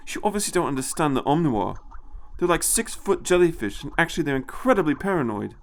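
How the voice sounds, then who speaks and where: A man's voice: on the high side, big pitch swings, quick pace, slight background noise, voice pushed up. A man voicing a shaken, anxious and defeated personal assistant in an audio story, fretful and gloomy. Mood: bleak.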